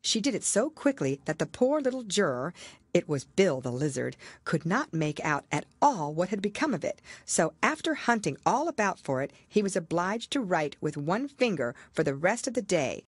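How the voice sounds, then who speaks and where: Female audiobook narrator: lowish, animated storybook delivery, very dry sound. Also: moderate pace, breathy edge, no echo. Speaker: audiobook reader. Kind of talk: expressive scripted storybook narration.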